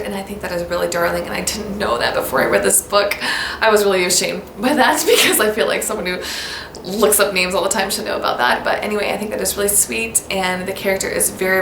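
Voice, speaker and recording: A woman's voice: medium pitch, gravelly. A woman with an American accent, talking casually to camera about baby names, upbeat and gushing, sometimes spelling words out letter by letter. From United States. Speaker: baby-name vlogger. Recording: echoey room with background noise.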